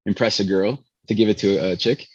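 A man's voice: lowish voice, fairly even intonation, brisk, darkish tone, husky, dry recording, muffled recording. A man who spoke Spanish first, a pastry chef telling long rambling stories full of restarts in an interview. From born in Chicago, raised in Venezuela. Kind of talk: casual interview storytelling.

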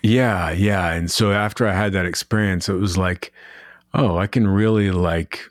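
A man with a deep voice, hosting a podcast and speaking thoughtfully and softly in a reflective conversation. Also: fairly even intonation, normal speed, no echo, clean studio sound. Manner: thoughtful, soft-spoken, rambling. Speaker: podcast host.

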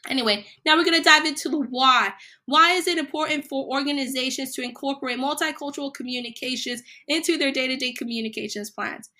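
A woman hosting a solo podcast, a little hoarse from a cold, reading numbered points with emphasis. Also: sits high, fast, pushed and bright. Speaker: podcast host.

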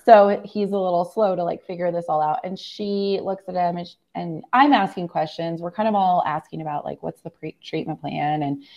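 Husky-voiced woman recovering from laryngitis. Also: fairly low pitch, normal speed, hardly any pauses, highs cut off. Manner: earnest, rambling with many fillers. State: hoarse after laryngitis. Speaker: guest. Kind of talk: personal testimony in a conversation.

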